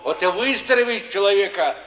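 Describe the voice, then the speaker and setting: Sly old pilgrim, high and reverberant. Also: male voice, expressive, fairly quick, fairly bright tone, slight background noise. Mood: musing, amused. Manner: folksy, chuckling, philosophizing in sayings. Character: kindly, sly old male wandering pilgrim. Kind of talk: theatrical stage acting. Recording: dull 1950s-70s stage recording, long hall reverb.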